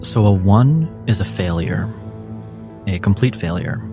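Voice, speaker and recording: A man narrating a tabletop game as game master in a measured storytelling way. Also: sits low, expressive. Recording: music bed under narration.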